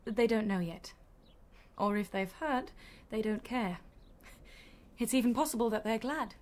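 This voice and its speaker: A woman's voice: a little high, measured pace, a little mellow, lightly acted. Actress as composed, well-bred bereaved young lady. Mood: cool, bitter. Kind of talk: BBC radio drama acting.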